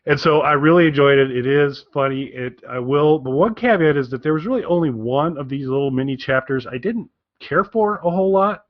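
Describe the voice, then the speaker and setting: A man co-hosting a podcast, giving a casual recommendation in a rambling, halting way and often repeating his phrases. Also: on the high side, no room sound, dull audio. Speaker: podcast co-host.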